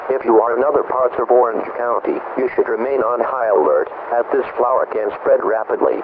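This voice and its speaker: A man's voice: mid-range voice, a little fast, lightly acted. A man playing a sober county emergency alert announcer, reading formal warnings in an official, impersonal manner over a broadcast. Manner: official, impersonal, urgent wording. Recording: tinny, noisy emergency radio broadcast.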